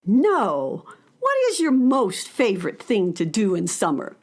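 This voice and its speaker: An actress playing a friendly narrator of a children's radio story, telling it expressively. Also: female voice, mid-range voice, wildly swooping pitch, unhurried. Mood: indulgent.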